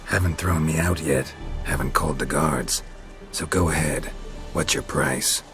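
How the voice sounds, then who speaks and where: A gruff middle-aged monster hunter from a video game, speaking in clipped, dry sentences. The voice sits extremely low and rumbling. A clear game score plays behind him. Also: male voice, steady tone, a little slow, music in the background. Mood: terse.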